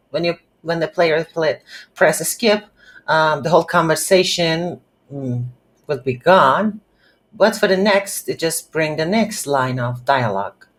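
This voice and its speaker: A woman hosting a casual game development tutorial, speaking haltingly as she thinks aloud while working. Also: fairly low pitch. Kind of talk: casual screen-recorded software tutorial.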